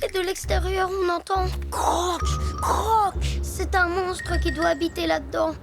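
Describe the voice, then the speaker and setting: An actor voicing an excitable, easily frightened young boy in a children's cartoon, with dramatic exclamations. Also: extremely high pitch, measured pace, gravelly, exaggerated voice. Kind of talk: animated children's cartoon dub. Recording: loud cartoon music behind the voice.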